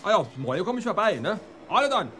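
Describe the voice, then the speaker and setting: An actor playing a loud, pushy, stammering son-in-law with a southwest German dialect. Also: male voice, high voice, very animated, fast talker, soft background music. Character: loud, blustering middle-aged male handyman son-in-law. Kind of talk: radio play acting.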